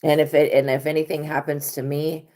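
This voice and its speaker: A woman tarot reader hosting a live stream in a casual monologue, with a hoarse, worn-out voice and a blunt, profane way of talking. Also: low-pitched, flat delivery, brisk, darkish tone. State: hoarse, lost voice.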